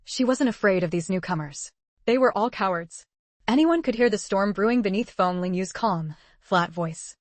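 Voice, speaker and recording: A woman narrating a translated web novel in an even, measured storytelling voice. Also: medium pitch, no echo. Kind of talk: scripted audiobook narration.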